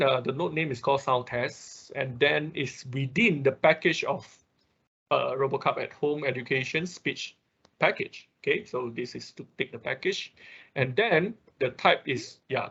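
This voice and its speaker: Husky man teaching an online class. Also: mid-range voice, fairly bright tone, muffled recording. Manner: explanatory, often checking with "okay" and "right". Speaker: instructor of an online robotics class. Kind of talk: informal step-by-step tutorial. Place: online class, screen-share voice-over.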